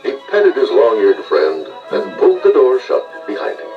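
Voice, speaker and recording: A man reading scripted children's read-along narration with expressive storytelling delivery. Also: on the low side, moderate pace, far from the mic, dull audio, music in the background.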